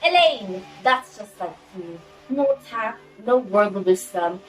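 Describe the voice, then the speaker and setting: A woman's voice: medium pitch, animated, slow, echoey room, distant mic, soft background music, rough recording. A teenage girl playing a bossy, fussy society mother in an amateur one-act play. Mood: scolding.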